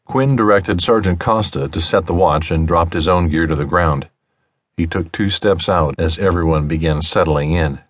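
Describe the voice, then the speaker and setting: A man with a low voice narrates fiction. His delivery is measured and deliberate, as in an audiobook. The sound is dry, with very little room on it. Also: no echo.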